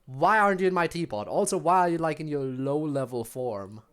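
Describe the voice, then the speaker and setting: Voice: medium pitch, a little fast, a little hiss or hum. A streamer chatting casually over gameplay in a wry, informal manner. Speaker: game streamer. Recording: voice over game music.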